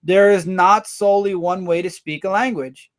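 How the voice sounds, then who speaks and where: A man hosting a casual livestream, rambling through viewer comments with quick asides. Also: high-pitched, steady tone. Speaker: livestream host.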